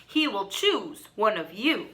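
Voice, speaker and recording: A woman's voice: medium pitch, big pitch swings, slow, a bit bright, pitched below the performer's own voice. A woman voicing a male train conductor who announces with authority in a storybook. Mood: announcing.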